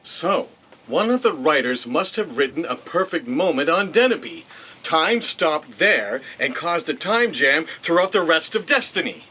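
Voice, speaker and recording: A man's voice: a little high, very animated, a little slow, pushed and bright, husky, full character voice. A man playing an earnest, determined space adventurer in an audio drama, reasoning aloud emphatically. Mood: puzzling it out.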